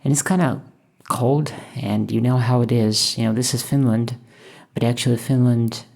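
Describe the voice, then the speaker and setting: Gravelly-voiced woman musing. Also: rumbling low, steady tone, darkish tone. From Moscow, Russia. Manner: thoughtful, hesitant, musing with many pauses. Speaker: painter and channel host. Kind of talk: reflective voice-over while painting.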